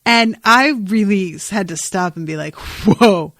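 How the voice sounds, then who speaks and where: A woman hosting a podcast, chatting casually and recapping a story in an informal, expressive, sweary way. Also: mid-range voice, unhurried, dry recording, clean studio sound. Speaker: podcast host. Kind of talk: casual chat, recapping a TV episode.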